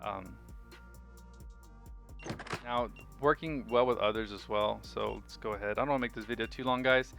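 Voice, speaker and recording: A man's voice: fairly low pitch, moderate pace, gravelly, pauses often, a bit bright, faint music behind. A man giving casual, unscripted video game commentary, rambling and thinking aloud as host of the review.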